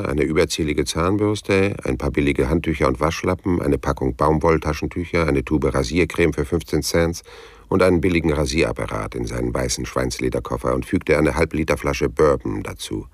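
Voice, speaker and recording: An actor playing a world-weary, wry private detective in a German crime radio play, laconic and sardonic, with a deep, gravelly, husky voice. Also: male voice, moderate pace. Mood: brooding narration. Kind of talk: German radio play acting and first-person crime narration.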